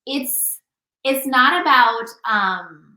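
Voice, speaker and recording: A woman's voice: sits high, animated, extremely slow, bright, forceful tone. A woman hosting a relationship podcast as an intimacy coach, talking casually and guiding the talk, a little scattered. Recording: echoey room.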